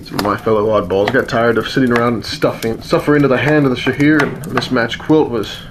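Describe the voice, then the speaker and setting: A man acting a wry, world-weary, gruffly protective rebel in a fantasy radio play, with a teasing, matter-of-fact manner. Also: mid-range voice, steady tone, fast, background noise, close to an everyday voice. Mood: grave. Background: music under the voice.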